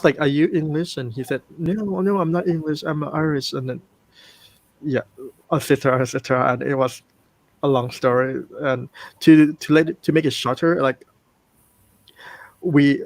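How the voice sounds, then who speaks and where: A man's voice: medium pitch, no echo. A man from Thailand with a Thai accent, hosting a casual chat and telling a story with many hesitations. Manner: hesitant, many fillers and restarts. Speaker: host.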